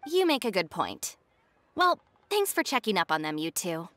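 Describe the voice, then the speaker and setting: A woman's voice: medium pitch, very animated, normal speed, fairly bright tone, a little hiss or hum. A woman voicing a composed, sensible young gang deputy in a video game, speaking in a dry, articulate manner. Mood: composed, wry.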